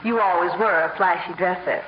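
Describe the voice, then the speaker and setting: A woman's voice: on the low side, steady tone, fairly quick, natural voice. An actress playing a hustler's fond, long-suffering girlfriend, dry and teasing in a radio comedy. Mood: sardonic. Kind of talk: 1950s radio comedy acting. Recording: muffled, echoey old radio broadcast, faint noise.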